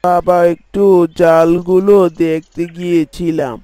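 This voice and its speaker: Man voicing a gentle fisherman dad, high voice, slow deliberate delivery, cartoon moral story, faint background noise. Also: fairly even intonation. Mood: gentle, caring. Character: village fisherman, gentle husband and father.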